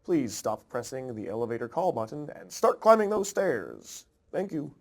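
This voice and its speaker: Male announcer, medium pitch, scripted public-address message, formal, deadpan delivery. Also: moderate pace.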